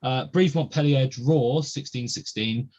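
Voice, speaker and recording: A man's voice: medium pitch, unhurried, darkish tone. Young guy hosting a chat podcast, lots of ums, kinda muffled lo-fi audio. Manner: chatty, many ums and uhs. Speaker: podcast host.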